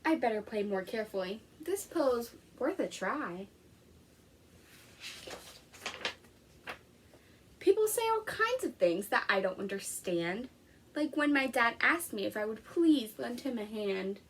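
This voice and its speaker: Lady reading as nervous little kid from a picture book, boy, highish voice, expressive, bit of background noise. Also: moderate pace. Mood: worried, puzzled. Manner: expressive storybook read-aloud. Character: anxious little boy narrating his literal-minded worries.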